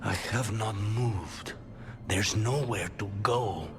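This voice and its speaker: An actor playing a tormented, resigned assassin imprisoned in his own mind in a video game, halting and defeated. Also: fairly low pitch. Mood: resigned, weary. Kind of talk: dramatic video game acting. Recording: loud music behind the voice.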